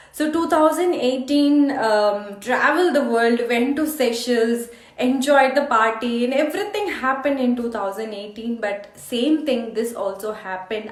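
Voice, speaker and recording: Casting: female life coach, Indian accent, unhurried delivery, earnest personal storytelling, roomy echoing sound. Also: fairly high pitch. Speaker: life coach hosting a meditation challenge. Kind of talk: personal motivational storytelling to camera.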